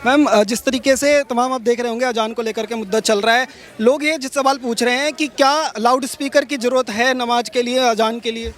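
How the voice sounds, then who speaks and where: Male street reporter, very high-pitched, rapid-fire delivery, interviewing people for news. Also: steady tone, fairly bright tone. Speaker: field reporter.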